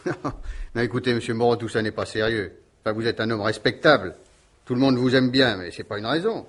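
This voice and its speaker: An actor in a French radio mystery play, playing a courteous, hesitant, well-meaning village gendarme who gets easily flustered. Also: male voice, medium pitch, a little fast, lightly acted. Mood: flustered, concerned.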